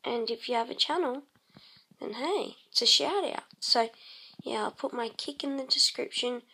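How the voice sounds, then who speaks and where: A woman casually narrating her game session, croaky and clearing her throat, rambling with many pauses and humming to herself. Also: a little high, sharp tone, rough recording. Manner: slow, rambling, many ums, hums tunes while playing. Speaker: let's play host. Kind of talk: casual gameplay commentary.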